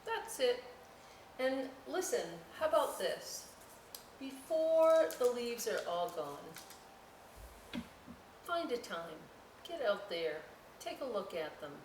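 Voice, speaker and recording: A woman hosting a children's storytime, reading aloud and explaining crafts with folksy, chatty, expressive asides to young listeners. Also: a little high, takes it slowly, long pauses. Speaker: library storytime host. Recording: roomy echo, distant mic, faint noise.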